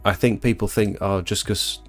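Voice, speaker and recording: Low-pitched British man with gravelly creak. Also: steady tone, normal speed, fairly bright tone, faint music behind. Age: 30s. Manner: informal, chatty, rambling. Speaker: livestream host, exterior cleaning tradesman. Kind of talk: casual live Q&A chat, reading out viewer comments. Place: small home bedroom doubling as an office.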